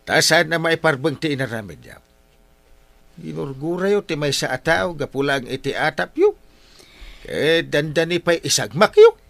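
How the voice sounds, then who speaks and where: A gravelly Ilocano village man. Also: a little high, big pitch swings, normal speed, a bit bright, no room sound, exaggerated voice. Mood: scolding, firm. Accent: Filipino, Ilocano. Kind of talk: broadcast radio comedy drama acting.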